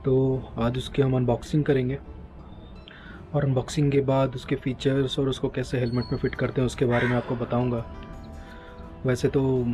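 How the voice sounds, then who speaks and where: A man giving casual unboxing commentary as a product vlogger, speaking haltingly in short broken phrases. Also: mid-range voice. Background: background music.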